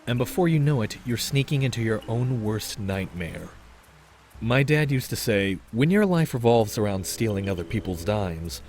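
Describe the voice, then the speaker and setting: A man voicing suave low-voiced young master thief. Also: darkish tone, lightly acted. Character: young male master thief raccoon, suave, cocky, wistful. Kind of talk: comic dub voice acting with narration.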